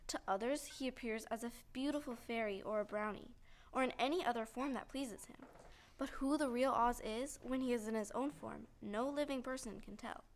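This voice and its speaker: A woman's voice: a little high, natural voice. A woman playing a wary but hospitable, knowing farm woman in an audio play.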